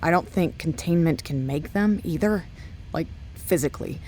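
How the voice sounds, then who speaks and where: A woman's voice: low-pitched, moderate pace, dark tone, close to an everyday voice. A woman acting as a tough, businesslike research chief in an audio drama. She is curt and guarded, with faint noise in the background. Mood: guarded, weary.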